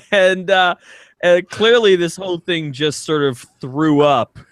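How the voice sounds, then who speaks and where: A man's voice: high-pitched, slow, fairly bright tone, smooth, no echo, clean studio sound. A man hosting a comedy podcast, bantering casually and teasingly and reading things out for laughs. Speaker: podcast host.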